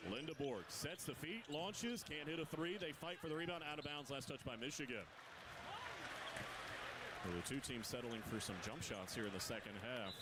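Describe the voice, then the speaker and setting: A man giving radio play-by-play for a college basketball game, his pitch jumping up on big plays. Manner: rapid, rising excitement on big plays. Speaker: play-by-play announcer. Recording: radio broadcast with loud arena crowd noise. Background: arena crowd and bouncing basketball.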